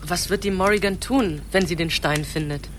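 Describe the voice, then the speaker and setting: A man's voice: high voice, moderate pace, fairly bright tone, a little hiss or hum. A young male actor playing a brave but wary boy on a quest in a radio drama. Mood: determined.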